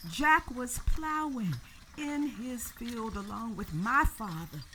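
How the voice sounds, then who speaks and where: A woman's voice: on the high side, slow pace, sharp tone, a little hiss or hum. A woman narrating a radio drama in a solemn, emphatic and intense way. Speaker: storyteller.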